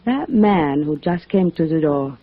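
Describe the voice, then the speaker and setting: A woman acting a self-possessed, softly alluring businesswoman in a 1950s radio drama, speaking in a measured, guarded way. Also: low-pitched, lively intonation. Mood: measured, confiding. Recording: very narrow, tinny old radio recording.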